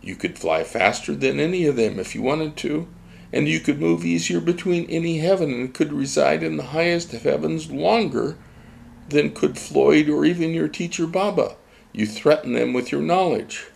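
Man voicing a blunt spirit teacher in child form, raised voice, gravelly, lecturing, storybook. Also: fairly high pitch, normal speed, a little mellow, slight background noise, pitched above the performer's own voice, low-quality recording. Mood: instructive. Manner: blunt, instructive.

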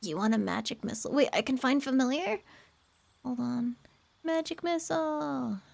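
A young woman streamer who is sick and hoarse from a sore throat, chatting casually and playfully while playing a game. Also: high voice, moderate pace, a little mellow. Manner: playful, laughs often.

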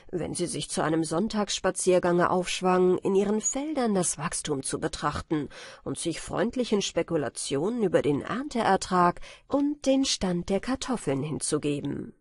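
A woman's voice: on the low side, animated, moderate pace, a little mellow, dry recording. Woman narrating fairy tales, close dry sound. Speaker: audiobook narrator. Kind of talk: scripted storybook narration for bedtime fairy tales.

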